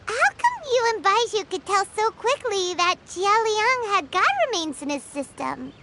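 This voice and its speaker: A woman's voice: very high voice, big pitch swings, unhurried, bright, forceful tone, a little hiss or hum, heavily acted. A woman voicing a tiny, chirpy, childlike fairy companion in an anime game. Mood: curious. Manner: childlike, refers to self in third person.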